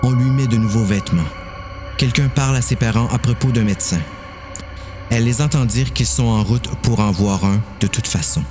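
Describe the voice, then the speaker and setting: A man's voice: medium pitch, normal speed, music in the background. A man with a Quebec French accent narrating a dramatised horror story.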